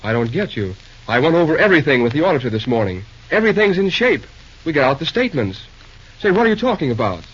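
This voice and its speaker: A man's voice: on the high side, very animated, fairly bright tone, slightly rough, full character voice. Earnest young railroad man on muffled recording. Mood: baffled, flustered. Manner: halting, sheepish, slangy. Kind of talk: 1930s-40s old-time radio drama acting. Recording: muffled, noisy old radio recording.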